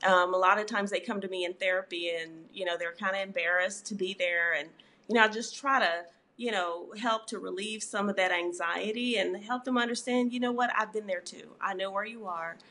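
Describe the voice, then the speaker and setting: A woman's voice: medium pitch, fairly even intonation, brisk, bright, forceful tone. A middle-aged woman therapist and author, guest in an interview, talking in a reflective, conversational way with frequent "you know" fillers.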